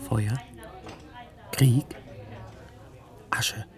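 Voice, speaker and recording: An actor playing a poor, brooding country seer in a radio play, speaking southern German rural dialect in an insistent, incantatory, earthy way. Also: male voice, mid-range voice, steady tone, drawn-out words. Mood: mystical, incantatory.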